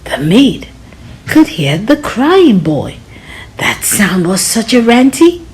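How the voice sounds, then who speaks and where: A woman reading a children's storybook aloud in an expressive, dramatic way, drawing out words for young listeners. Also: medium pitch, slow pace, rough recording.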